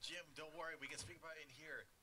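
A man's voice: fairly high pitch, fairly even intonation, very fast. A man improvising roleplay banter in an online game, rambling playfully. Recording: noisy game voice chat with clicks.

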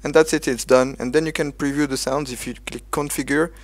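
A man's voice: mid-range voice, fairly even intonation, a little fast. A man with talks casually and with enthusiasm, like someone showing viewers around his project. The home recording has noticeable noise behind him. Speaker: gaming video creator. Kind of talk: casual tutorial chat. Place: small room at home.

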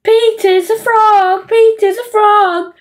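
A woman's voice: very high-pitched, steady tone, slow pace, pitched above the performer's own voice. A woman voicing a horrid, defiant young boy in a children's storybook, jeering and scheming. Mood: taunting. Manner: jeering, shrieking, taunting.

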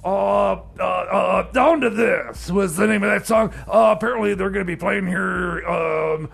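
Male comedy radio DJ, very high-pitched, husky rasp, stuttering, flustered, exasperated, rambling. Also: a bit bright, heavily acted. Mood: halting, muddled. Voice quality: high-pitched, gravelly and raspy. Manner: halting, stammering, filler-heavy, rambling. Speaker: radio DJ and host. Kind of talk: comedy radio theater, bumbling on-air banter.

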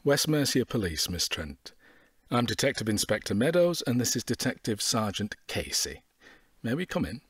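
A brisk, probing male detective inspector in an audio drama. His husky voice moves up and down a lot as he questions with dry scepticism. The sound is close and dry. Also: medium pitch, very animated, no room sound. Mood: formal.

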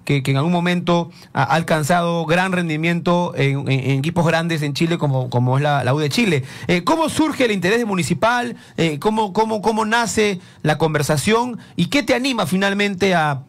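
A man hosting a live radio sports interview in a studio, asking lively, rambling questions full of restarts. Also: high voice, moderate pace, hardly any pauses. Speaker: radio show host.

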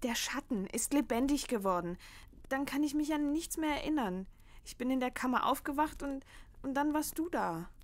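A woman playing an anxious, defiant sixteen-year-old daughter in a horror radio play, speaking haltingly and pleadingly. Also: high voice, expressive. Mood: fearful, pleading. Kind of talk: German horror audio drama acting.

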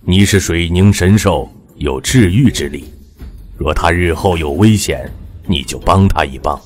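An actor playing an old bearded male immortal, calm and scheming, with slow, measured counsel. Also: low-pitched, slight background noise. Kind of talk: audio drama voice acting.

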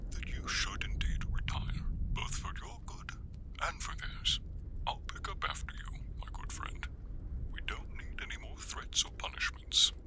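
A man's voice: a little high, full character voice, low-quality recording. Man voicing a whispery, kindly old Santa. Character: jolly, kindly old Santa, gently wise. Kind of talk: ASMR roleplay acting.

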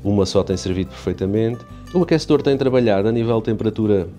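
A man's voice: lowish voice, quick pace, mellow, soft background music. A Portuguese man with a European Portuguese accent, chatting casually and rambling a little as he walks through an update of his home aquarium. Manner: chatty, rambling, many restarts and fillers. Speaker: aquarium hobby youtuber. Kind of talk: casual walkthrough narration.

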